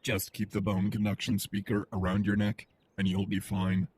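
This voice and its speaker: A man's voice: lowish voice, monotone, normal speed, darkish tone, a little hiss or hum, lightly acted. A man playing a suit's artificial intelligence in an audio drama, matter-of-fact with a dry, teasing streak. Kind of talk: acted audio drama dialogue.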